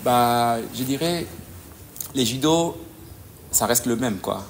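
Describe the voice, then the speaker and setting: Gravelly man, halting. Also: medium pitch, expressive, slight background noise. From DR Congo. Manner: halting, searching for words, frequent "tu vois". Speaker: judo coach. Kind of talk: conversational interview answers.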